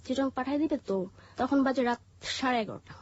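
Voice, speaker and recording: A woman with a Bangladeshi accent telling her life story in an interview, haltingly, with pauses and repeated phrases. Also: high-pitched, slight background noise. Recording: muffled, dull lo-fi audio.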